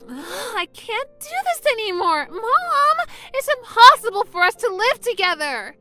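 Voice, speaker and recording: A lovestruck anime teenage girl speaks in a very high voice at an unhurried pace. She is melodramatic and easily flustered, sighing and tripping into stammers. Soft music plays behind her romcom cartoon lines. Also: expressive. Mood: fed up. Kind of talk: anime-style manga dub voice acting.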